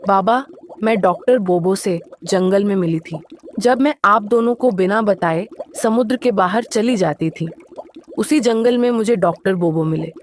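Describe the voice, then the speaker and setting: A woman's voice: medium pitch, a little hiss or hum, natural voice. A woman playing a young, lovelorn mermaid daughter in a cartoon moral story, earnest and pleading.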